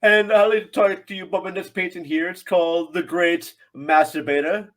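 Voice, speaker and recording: A man's voice: high voice, steady tone, moderate pace, voice pushed up, natural voice. Man putting on a brash, pompous male painter. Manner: brash, crude, mock-grand. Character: pompous painter pitching his painting at art show.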